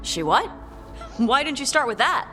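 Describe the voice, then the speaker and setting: A woman playing a brash, irreverent companion in a fantasy game, informal and animated. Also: high-pitched, moderate pace, heavily acted. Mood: cheeky. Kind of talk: naturalistic game dialogue. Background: music.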